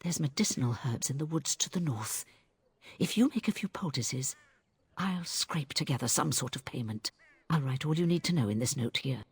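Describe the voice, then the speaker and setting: A woman's voice: extremely low pitch, expressive, moderate pace, husky, exaggerated voice. A woman playing a brusque, weary village healer in a video game, speaking in blunt, earthy phrases.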